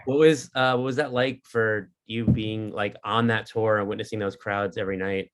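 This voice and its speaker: A man's voice: on the low side, fairly even intonation, moderate pace. A man hosting a music podcast from his apartment, chatting casually with lots of ums and likes. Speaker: podcast host interviewing a band. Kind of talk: casual interview chat over video call.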